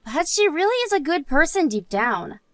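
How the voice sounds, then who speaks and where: A woman's voice: high-pitched, very animated, normal speed, no room sound. A woman playing an earnest married woman and loyal friend in a scripted story drama. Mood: calm, chatty.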